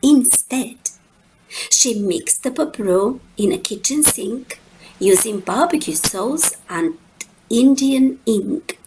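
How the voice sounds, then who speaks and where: A woman narrates a rhyming children's picture book in a lilting, very animated voice. She takes her time, stretching words and leaving dramatic pauses. A faint noise sits behind the reading. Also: on the high side. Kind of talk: expressive rhyming storybook narration.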